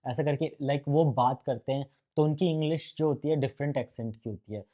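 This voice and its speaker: Indian guy teaching a freelancing course, warm relaxed voice, chatty, talks right to you. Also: medium pitch, brisk. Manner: chatty, mixes English phrases into Hindi, addresses viewer directly. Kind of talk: casual tutorial talk to camera.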